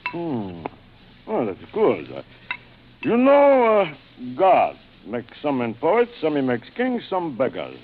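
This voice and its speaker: A muffled, hissy old radio recording of a proud aristocratic hunter. His delivery swings widely in pitch, courtly and theatrical, savouring every formal phrase. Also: huge pitch swings, slow, heavily acted. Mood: proud, boastful. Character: suave, proud, aristocratic big-game hunter general, obsessed with hunting. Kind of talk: 1940s radio acting.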